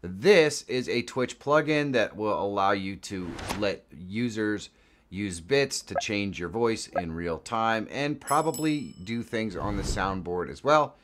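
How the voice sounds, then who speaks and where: Tutorial presenter: American male, fifties, lively intonation, casual and emphatic. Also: fairly low pitch, slow pace, noisy background. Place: small indoor home studio.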